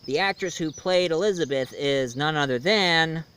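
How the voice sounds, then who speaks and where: A man giving a casual movie review as host, in a halting way with pauses mid-sentence and repeated phrases. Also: on the high side, fairly bright tone, smooth.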